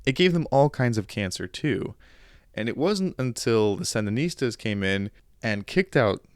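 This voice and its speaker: Sardonic male podcast host, clear dry voice. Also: medium pitch, expressive, moderate pace, no echo. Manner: sarcastic, joking asides. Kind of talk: casual commentary.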